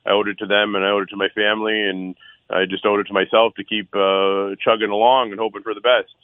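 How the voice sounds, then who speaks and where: A middle-aged man from Six Nations in Canada calls into a radio show as a council candidate. His voice sits low and comes through a thin, phone-like line with no room around it. He talks earnestly and loudly, filling gaps with uh and circling back on himself. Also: level tone, quick pace, no echo. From Six Nations, Ontario, Canada. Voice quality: loud voice. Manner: frequent uh fillers and restarts, earnest. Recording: dry tinny phone line.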